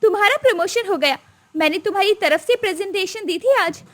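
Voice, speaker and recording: A woman's voice: very high voice, fairly quick, fairly bright tone, pitched above the performer's own voice. A woman voicing a young, modern daughter-in-law who is earnest, outspoken and caring. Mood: warm.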